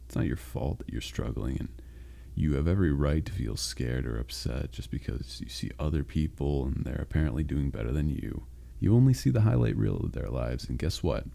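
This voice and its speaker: A gravelly, gentle sleep demon. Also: male voice, expressive, full character voice. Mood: soothing. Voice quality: deep gravelly growl. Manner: soft, reassuring, halting and unhurried. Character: caring, supportive male sleep paralysis demon. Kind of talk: comforting roleplay monologue.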